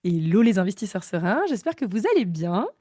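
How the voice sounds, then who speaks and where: A woman's voice: mid-range voice, big pitch swings, fairly quick, mellow, husky, no echo, clean studio sound. A woman in her thirties hosting a podcast, enthusiastic and chatty. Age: 30s. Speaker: podcast host. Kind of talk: casual interview chat.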